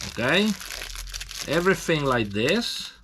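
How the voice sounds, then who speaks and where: A man from Italy with an Italian accent, speaking halting, broken English as he gives a casual hands-on demonstration. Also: a little high, sing-song, noisy background. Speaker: tattoo machine demonstrator.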